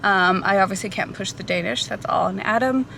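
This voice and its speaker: Woman, thirties, casual vlogger, ums and likes, middle pitch, faint background noise. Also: fairly quick, creaky, a bit bright. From Portugal. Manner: chatty, many ums and likes, laughs lightly. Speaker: vlogger and hairstylist. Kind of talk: casual Q&A vlog. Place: out at a café or in a car.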